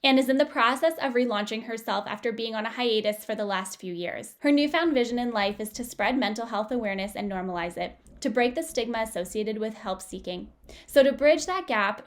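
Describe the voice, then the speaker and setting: A woman's voice: fairly high pitch, fairly quick. A young woman hosting a podcast, speaking with upbeat enthusiasm and laughing often. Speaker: podcast host. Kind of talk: podcast promo and interview.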